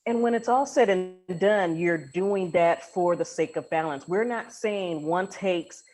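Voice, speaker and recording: Lower-pitched woman hosting a casual podcast. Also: a little slow, a little mellow. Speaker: podcast host. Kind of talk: casual interview conversation.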